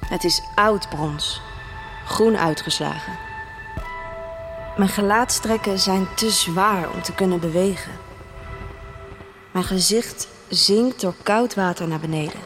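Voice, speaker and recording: A woman's voice: medium pitch, natural voice. A woman playing a detached young female protester in a dystopian audio drama, narrating in literary first person. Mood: somber, dreamlike. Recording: music under the voice. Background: music.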